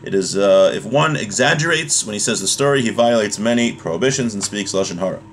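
Male religious teacher, thirties, slightly low voice, informal and halting explanatory delivery, home recording with faint noise. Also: animated. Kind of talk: informal Torah lesson, reading a text and explaining it. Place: small home room, indoors.

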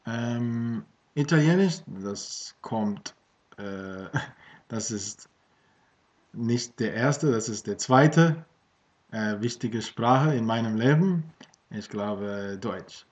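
A man's voice: mid-range voice, expressive, very slow. A man in his thirties from Australia, a native English speaker, talking casually in a learned language with many fillers and restarts, filmed by a webcam at home. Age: 30s. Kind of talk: casual vlog in German. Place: small room at home with bookshelves. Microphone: webcam at desk. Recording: dull lo-fi webcam audio.

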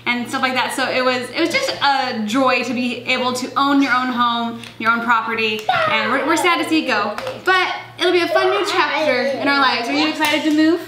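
Young mom vlogger, high-pitched, chatty and wistful, handheld camera in echoey rooms. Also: female voice, normal speed, few pauses. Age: young adult. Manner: chatty, wistful, filler-heavy. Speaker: family vlogger. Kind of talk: casual house tour vlog. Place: suburban family house, indoors. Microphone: handheld camera held close. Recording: echoey rooms, faint noise.

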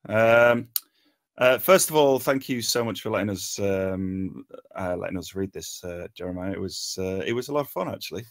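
Man, lowish pitch, hesitant delivery, many filled pauses, informal critique, online group call. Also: animated. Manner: hesitant, halting, frequent long pauses and ums. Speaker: table read participant giving script feedback.

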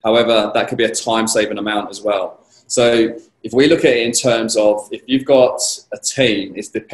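Low, slightly croaky man in a roomy space. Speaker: guest speaker answering questions. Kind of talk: conversational conference Q&A. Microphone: video call. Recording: roomy echo on a video call.

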